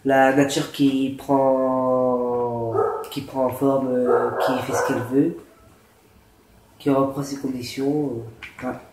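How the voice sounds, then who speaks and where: A man's voice: mid-range voice, little pitch movement, slow, long pauses. A man with a cold and a sore throat, hosting a casual gameplay tutorial, explaining in a rambling, hesitant way. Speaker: gaming channel host. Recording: roomy echo with faint noise.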